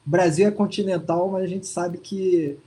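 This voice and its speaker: A man's voice: high voice, fairly even intonation, normal speed, mellow. A man being interviewed over a video call, speaking in a casual, hesitant way with many restarts and fillers. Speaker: interview guest. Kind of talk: casual testimonial chat.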